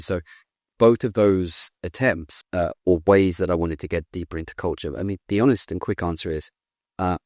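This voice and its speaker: A British man in his thirties talking in a casual interview, blunt and animated, swearing now and then. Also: sits low, no room sound, clean studio sound. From Britain. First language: English. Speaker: guest.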